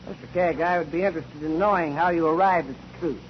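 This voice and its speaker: An actor playing a dignified, courteous judge in a 1940s radio courtroom drama. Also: male voice, fairly high pitch, fairly even intonation, quick pace, lightly acted. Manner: formal, dignified. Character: formal, courteous male judge presiding over court. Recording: dull, noisy 1949 radio recording.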